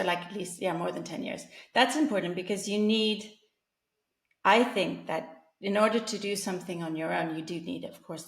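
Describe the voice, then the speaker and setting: Woman guest, 40s, from England; chatty, restarts a lot, gravelly tone, roomy sound. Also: lowish voice. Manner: chatty, frequent fillers and restarts, laughs often. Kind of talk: casual interview chat.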